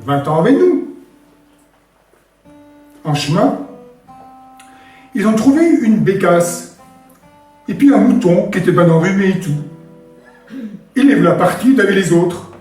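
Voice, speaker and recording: A man with a hoarse, damaged voice telling a rural folk tale at an open stage. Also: high voice, measured pace, long pauses. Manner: lively, animated storytelling. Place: live open-mic stage in a hall. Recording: very echoey hall from far off, faint guitar.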